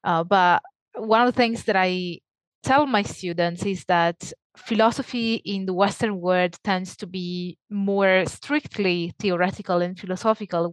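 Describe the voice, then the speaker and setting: A woman from Italy with an Italian accent, a guest scholar speaking in an interview, laughing often and speaking in quick bursts. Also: lowish voice, no echo. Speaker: academic guest. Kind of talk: conversational interview.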